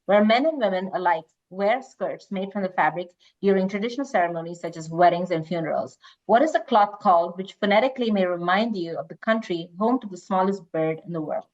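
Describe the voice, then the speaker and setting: A woman hosts a quiz over a slightly muffled, lo-fi connection. She switches between reading out questions and casual moderating, in an average-pitched voice.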